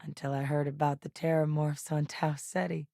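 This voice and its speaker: A level-headed woman scientist and seasoned field hand from a video game, speaking in a low voice. Her delivery is measured and matter-of-fact. The studio sound is close and dry, with no room around it. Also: little pitch movement, warm tone, no room sound. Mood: steady. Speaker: video game companion character. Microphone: studio microphone.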